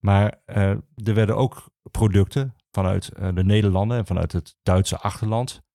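Halting man with a gravelly low voice. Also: mellow, dry recording, clean studio sound. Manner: halting, hesitant, many filled pauses. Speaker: archivist, podcast guest. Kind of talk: informal historical explanation.

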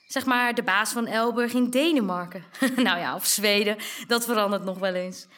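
An actress playing a down-to-earth, outspoken mother of a medieval household, chatty and expressive, in an audio drama. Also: medium pitch, normal speed, close to an everyday voice.

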